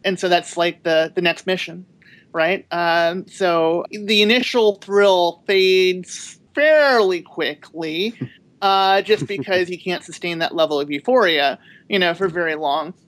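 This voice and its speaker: A woman author speaking as a guest on a podcast panel, reflective and halting, with many restarts. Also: fairly low pitch. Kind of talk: podcast interview.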